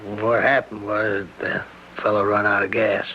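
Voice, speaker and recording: An actor playing a folksy, plain-spoken small-town sheriff who quietly probes, in a radio mystery drama. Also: male voice, on the low side, flat delivery, close to an everyday voice. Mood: casually probing. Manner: plain-spoken, rural phrasing, deliberate. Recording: dull lo-fi radio recording with hiss.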